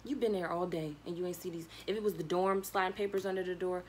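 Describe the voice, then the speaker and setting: A young adult woman telling a personal story in a casual, slangy, animated way, acting out bits of dialogue as a vlogger would. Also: lowish voice, fast, a little hiss or hum.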